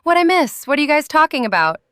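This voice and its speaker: A woman's voice: sits high, sing-song, quick pace, fairly bright tone, no echo, clean studio sound, voice pushed up. A woman voicing a bubbly, smitten nineteen-year-old daughter, gushing and eager in a told story. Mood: bouncy, curious.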